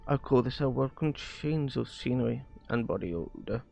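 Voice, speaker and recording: A man streaming a visual novel, reading its dialogue aloud in a halting way with stumbles and rereads. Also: medium pitch, animated, gravelly, mellow, soft background music.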